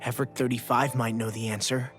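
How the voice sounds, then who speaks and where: A sincere young male fighter from a fantasy game, performed by a man, with a mid-range voice. He sounds loyal and slightly bashful. Music is clearly audible behind his speech. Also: medium pitch, level tone, fairly quick, a bit bright, natural voice. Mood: sincere, resolute. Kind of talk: video game cutscene voice acting.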